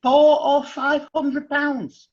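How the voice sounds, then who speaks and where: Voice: high-pitched, flat delivery, very slow, a little mellow, muffled recording. An actor playing a wry, impatient magistrate in a radio play, exasperated and colloquial. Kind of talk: radio drama acting.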